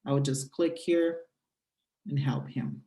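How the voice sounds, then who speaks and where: A speaker whose first language is Portuguese walks through an app step by step, explaining in a halting way with frequent fillers. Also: medium pitch, dark tone. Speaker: presenter demonstrating a language-exchange app. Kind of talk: screen walkthrough tutorial. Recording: roomy echo.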